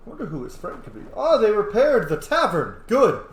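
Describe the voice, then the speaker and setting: A man's voice: sits high, huge pitch swings, a little fast, roomy sound, slight background noise. A man giving casual, chatty gaming commentary as a let's play host, reacting aloud as he plays.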